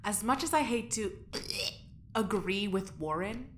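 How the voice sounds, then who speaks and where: A woman's voice: mid-range voice, expressive, slow pace, fairly bright tone, a little hiss or hum. A woman playing an irritable, sarcastic female prisoner in an audio drama. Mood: scornful.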